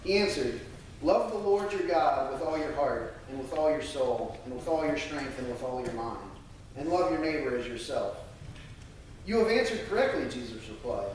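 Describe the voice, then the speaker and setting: American man, earnest church speaker, very roomy chapel sound, mid-pitched voice, picked up from a distance. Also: lively intonation, a little mellow. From United States. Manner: halting, hesitant, earnest. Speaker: church member giving testimony and scripture reading. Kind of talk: personal testimony and scripture reading to a congregation. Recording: very echoey room, distant mic, faint noise.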